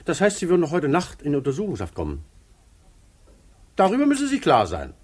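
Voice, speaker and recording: An actor playing a bureaucratic police inspector in a 1950s radio drama, polite but firm and slightly husky. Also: male voice, fairly high pitch, huge pitch swings, a little fast, darkish tone, full character voice.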